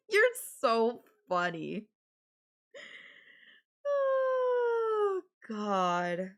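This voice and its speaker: A woman's voice: on the high side, full character voice. A woman playing a possessive, sarcastic and menacing yandere girlfriend in an audio roleplay, talking with sweet condescension. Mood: mocking, angry. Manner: slow, sarcastic, sweetly condescending with veiled threats. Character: possessive, menacing yandere girlfriend holding her partner captive. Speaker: voice actor.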